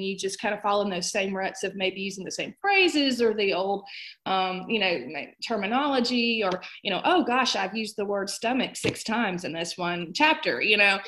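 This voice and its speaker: A woman's voice: mid-range voice, a bit bright, poor audio. A woman in her fifties chatting casually as a guest author in an interview.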